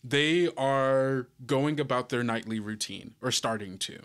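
A man describing scenes in a tabletop role-playing game in a measured, improvised way. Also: medium pitch, fairly bright tone.

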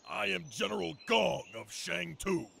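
A man voicing an animal army general in a video game, formal and emphatic, with a gravelly voice. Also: high voice, animated, unhurried, bright, forceful tone, a little hiss or hum, exaggerated voice. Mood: formal, earnest. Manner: formal, emphatic military briefing.

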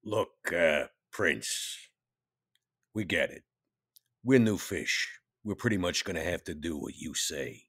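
A man playing a plainspoken, laid-back tough vampire in a tabletop game, with a gravelly, folksy delivery. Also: sits low, animated, slow, fairly bright tone, full character voice. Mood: wry, plainspoken.

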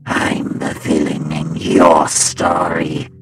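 A man voicing a tormented, brooding animatronic in a comic dub, menacing yet devoted, with a breathy growl and an intense, dramatic delivery. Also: flat delivery, slow pace, full character voice. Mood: remorseful. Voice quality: very deep, gravelly, breathy growl.

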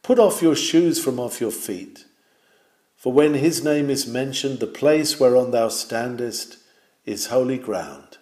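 A man's voice: mid-range voice, a little mellow, roomy sound. A man reading an old sermon aloud in a solemn, exhorting manner, with rising and falling emphasis. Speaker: reader of a historical sermon.

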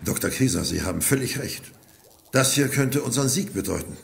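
Older army boss, firm, creaky voice, expressive, audio drama. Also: male voice, mid-range voice, very noisy. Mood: firm, strategic. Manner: deliberate, firm. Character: authoritative older male military commander, resolute.

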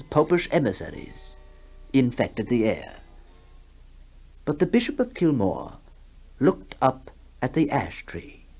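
A man narrating a radio horror story in a deliberate, suspenseful, dramatic style. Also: medium pitch, darkish tone. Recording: faintly noisy 1960s radio broadcast.

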